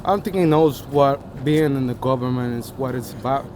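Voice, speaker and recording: A man from Rico, answering questions on a city street at night in a casual, halting, plain-spoken way. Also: medium pitch, background noise. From Puerto Rico. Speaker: passerby interviewee.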